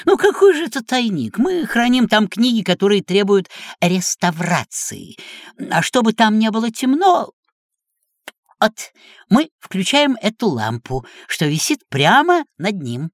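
A woman voicing a sly, kindly learned male cat in a children's audio play, with a theatrical, playful, drawn-out delivery. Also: mid-range voice, very animated, dry recording, clean studio sound. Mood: kindly, animated.

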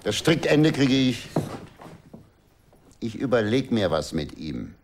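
A man's voice: mid-range voice, sing-song, takes it slowly, a little hiss or hum. An actor playing a harsh, commanding male gang leader in a radio drama, curt and scornful.

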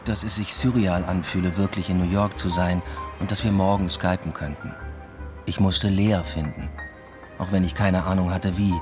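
A man's voice: deep voice, lightly acted. An actor playing a searching, lovelorn young man adrift abroad, narrating a radio drama in a reflective, understated way.

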